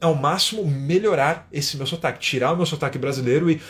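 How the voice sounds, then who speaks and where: A man's voice: a little high, brisk, a bit bright. A man from Brazil with a Brazilian Portuguese accent, an English teacher giving an emphatic live-stream lesson. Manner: emphatic, frequent tag questions.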